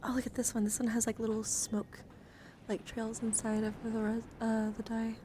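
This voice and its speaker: A woman livestreamer chatting casually in an ASMR style, halting and rambling as she thinks aloud. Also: fairly high pitch, monotone, dark tone, a little hiss or hum. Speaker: livestreamer showing a dice collection. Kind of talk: casual ASMR chat to viewers.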